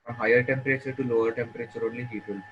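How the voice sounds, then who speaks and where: Deferential student saying "sir". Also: male voice, medium pitch, steady tone, racing, dull audio, slight background noise. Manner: deferential, answers with "yes, sir". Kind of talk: tutoring session answers.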